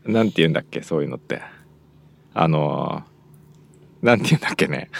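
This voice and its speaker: A man's voice: rumbling low, rapid-fire, creaky, no echo. A man hosting a podcast, chatting casually and nodding along with short murmured replies. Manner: relaxed, frequent short backchannels. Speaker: podcast host. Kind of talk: casual chat.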